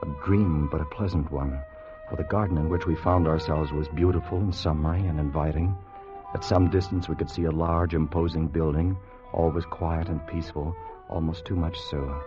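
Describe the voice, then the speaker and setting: An actor playing an anxious, timid time traveller who also narrates, in an earnest, dramatic 1950s radio style. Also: male voice, rumbling low, steady tone, normal speed. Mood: hushed wonder. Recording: dull vintage radio recording with music.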